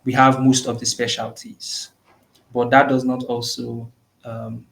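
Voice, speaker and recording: A young man with a Nigerian accent speaks in an earnest, composed way, keeping his pitch level and even. His voice sits mid-range for a man. He is heard over a video call, answering interview questions with measured care. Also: gravelly. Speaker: guest.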